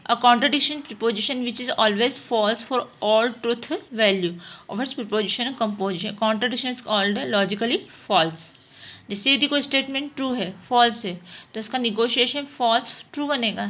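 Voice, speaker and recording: A woman's voice: a little high, takes it slowly, fairly bright tone. A woman teaching a mathematics lesson, explaining step by step and often answering her own questions. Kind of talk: informal spoken lesson, working through problems.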